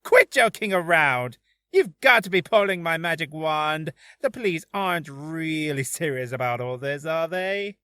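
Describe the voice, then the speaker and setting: A man's voice: on the high side, animated, a little slow, sharp tone, clear, resonant tone, no room sound, clean studio sound. A man voicing a flamboyant, vain circus magician in a video game, theatrical and boastful. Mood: boastful, indignant. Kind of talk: video game character acting.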